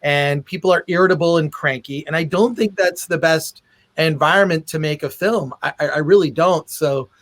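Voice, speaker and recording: A man's voice: a little high, a bit bright. Male podcast host, conversational interviewer, stop-start phrasing with doubled words. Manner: halting, repeats words as thoughts form. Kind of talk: casual interview chat.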